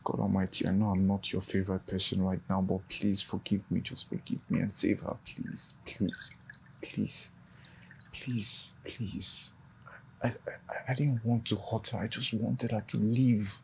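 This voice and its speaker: A man's voice: on the low side, flat delivery, a little fast, creaky, hardly any pauses, a little mellow, a little hiss or hum, low-quality recording. A man playing a guilt-ridden boss talking to himself. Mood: praying. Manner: anguished self-reproach, pleading prayer.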